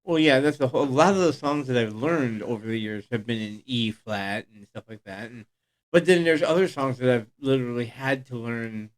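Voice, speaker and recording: Easygoing older man, middle-pitched voice. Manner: easygoing, agreeable, quick to laugh along. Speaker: podcast co-host. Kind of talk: casual chat.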